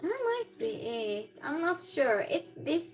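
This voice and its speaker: A woman's voice: high voice, sing-song, slow pace. A woman who is not a native English speaker chats casually on a livestream, rambling along and answering viewers as she goes. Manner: rambling, reads viewer comments aloud and replies. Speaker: live streamer. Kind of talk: casual livestream chat while cooking. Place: indoors at home while cooking.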